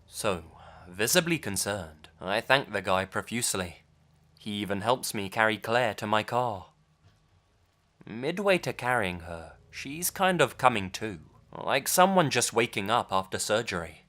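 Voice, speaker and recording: Brief: male horror narrator, lowish voice, calm measured storytelling.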